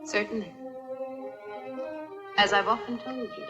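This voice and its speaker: A regal, teasing queen, played by a female actor in a dubbed historical adventure film. She speaks at a middling pitch, composed and lightly scolding. Music plays clearly behind her words. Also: measured pace, lightly acted. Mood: composed, chiding. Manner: regal, composed, gently chiding. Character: poised, teasing young queen rebuffing a suitor.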